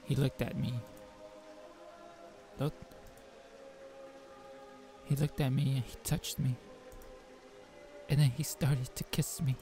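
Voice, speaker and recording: A man voicing a dazed, distressed young girl in a visual novel, with a halting, plaintive manner. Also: mid-range voice, flat delivery, mellow, soft background music. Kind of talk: amateur visual novel voice acting.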